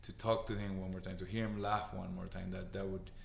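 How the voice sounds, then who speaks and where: A man from Colombia, a podcast guest and father, speaking earnestly and haltingly in casual conversation. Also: sits low, flat delivery, gravelly, echoey room, a little hiss or hum. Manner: earnest, halting, repeats words.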